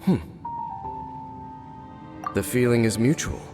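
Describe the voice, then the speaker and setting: An actor playing a grave, brooding wandering swordsman who speaks in a slow, formal, solemn way in a game cutscene. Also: medium pitch, lightly acted. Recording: game audio with long reverb and music.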